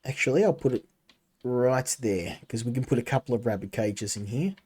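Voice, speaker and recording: A man's voice: mid-range voice, moderate pace, a little mellow, breathy edge. A man streaming a game, chatting casually and rambling while talking to his chat. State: coming down with a cold.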